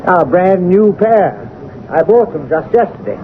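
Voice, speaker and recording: A man playing a young, indignant baronet in a radio drama, blunt, colloquial and excitable. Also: high voice, unhurried. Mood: defiant. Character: indignant, blunt male young baronet, newly come into inheritance. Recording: tinny old radio recording, faint noise.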